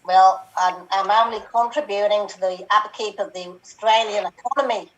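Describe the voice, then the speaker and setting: A woman's voice: medium pitch, fairly even intonation, normal speed, far from the mic, natural voice, rough recording. A woman playing a defensive, flustered tax office worker over a call.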